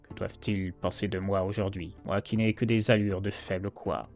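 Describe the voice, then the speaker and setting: A man playing an anguished, guilt-ridden heir who narrates a horror poem in a solemn, theatrical, measured way. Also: on the low side, natural voice. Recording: narration over prominent background music.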